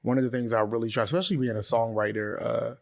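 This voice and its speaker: A middle-aged man speaks as a musician being interviewed. His pitch sits in the middle range. He talks in a casual, colloquial storytelling way. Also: fairly even intonation, fast, vocal fry. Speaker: interview guest, singer-songwriter.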